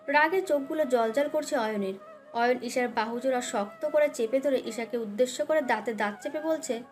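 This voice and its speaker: A woman's voice: fairly high pitch, a little fast, soft background music. A woman narrating a scripted dramatic love story as its storyteller.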